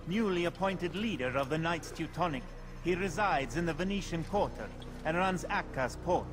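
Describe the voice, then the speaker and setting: An actor playing a courteous, cautious informant of an assassins' order in a video game, measured and formal. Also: male voice, fairly high pitch, animated, lightly acted. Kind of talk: video game drama acting. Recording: dramatic music behind the voice. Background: music.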